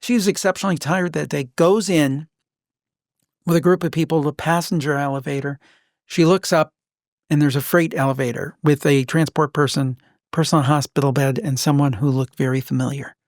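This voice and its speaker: A man hosting a podcast, telling stories casually and emphatically, with deliberate pauses. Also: fairly high pitch, steady tone, dry recording, studio quality. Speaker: podcast host. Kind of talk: casual conversational storytelling.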